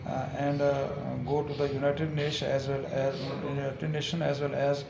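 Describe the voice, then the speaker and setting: An older man, an advocate, answering interview questions haltingly with many fillers and restarts. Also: medium pitch, monotone, creaky. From Azad Kashmir. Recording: echoey with crowd noise.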